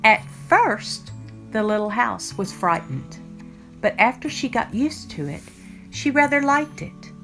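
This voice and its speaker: An older woman reading a children's picture book aloud, with deliberate, expressive phrasing for young listeners. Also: on the high side. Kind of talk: read-aloud storytime narration. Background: background music.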